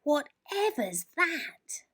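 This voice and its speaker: A woman's voice: sits high, sing-song, extremely slow, fairly bright tone, voice pushed up, full character voice. A woman voicing an eager, naive young male mole in a children's storybook. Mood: amazed. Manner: eager, wide-eyed exclaiming. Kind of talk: children's storybook character reading.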